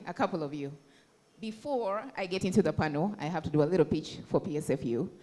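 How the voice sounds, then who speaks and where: A woman addresses an audience as a panel moderator. Her voice sits a little low, and her delivery is informal and direct. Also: dark tone. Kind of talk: public address introducing a panel.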